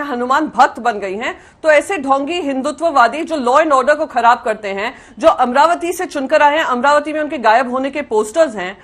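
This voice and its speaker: A woman's voice: high voice, a bit bright. A woman arguing a political point emphatically and indignantly, mixing English words into her speech. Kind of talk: argumentative political debate.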